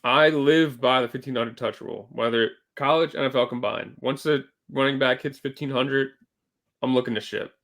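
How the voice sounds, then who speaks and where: A man co-hosting a casual sports podcast, talking loosely with fillers and self-corrections, a little hoarse from losing his voice. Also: mid-range voice, fairly even intonation, normal speed, a bit bright. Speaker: podcast co-host.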